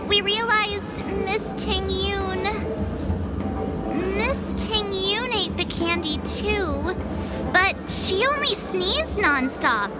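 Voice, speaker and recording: A perky young adventurer girl in a video game, with an extremely high voice. The character is chatty and expressive, easily exasperated, yet takes time over each phrase. Loud music runs underneath. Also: drawn-out words, heavily acted. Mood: exasperated storytelling. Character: perky, girlish, flustered young woman adventurer. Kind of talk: scripted video game voice acting.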